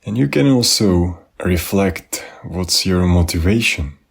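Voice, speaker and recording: A man leading a guided meditation, giving gentle, unhurried instructions with pauses. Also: low-pitched, expressive, vocal fry, slightly rough. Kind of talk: guided body scan meditation.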